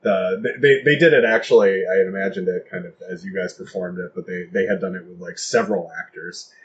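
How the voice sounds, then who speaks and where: A man's voice: sits low, brisk. A man chatting casually as an interview guest, talking in a rambling, self-deprecating way. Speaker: guest playwright and author. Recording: dull lo-fi recording with faint noise.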